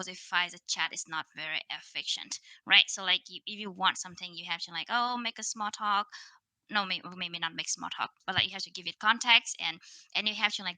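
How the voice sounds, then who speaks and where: A woman in her thirties with a Vietnamese accent gives a conference talk from a video call. Her voice is very bright and sounds dry and close. She speaks loosely, full of fillers and restarts. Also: mid-range voice, no echo, poor audio. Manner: casual, many fillers and restarts.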